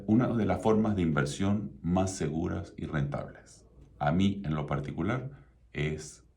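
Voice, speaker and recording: A middle-aged man from Venezuela with a Venezuelan Spanish accent, hosting his own radio talk show in a measured, promotional way. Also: low voice, vocal fry, darkish tone. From Venezuela (Mérida). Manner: measured, promotional, repeats contact details.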